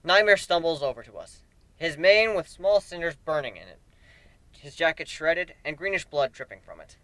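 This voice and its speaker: A man's voice: fairly high pitch, very animated, moderate pace, sharp tone, dry recording, rough recording. A man giving a theatrical, emphatic dramatic reading of a first-person fantasy story. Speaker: narrator of fan fiction.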